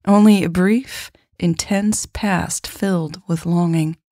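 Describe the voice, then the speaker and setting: Low, creaky-voiced woman narrating fiction. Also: fairly even intonation, slow, mellow, no room sound, studio quality. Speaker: podcast host and author narrating her story.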